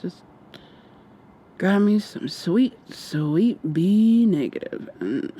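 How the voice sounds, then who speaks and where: Woman acting a sarcastic, drowsy captive woman, slow-talking. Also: on the low side, husky, full character voice. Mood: weary, bitter. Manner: sardonic, deadpan, drowsy. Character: sarcastic, weary human woman held captive by vampires. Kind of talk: ASMR roleplay.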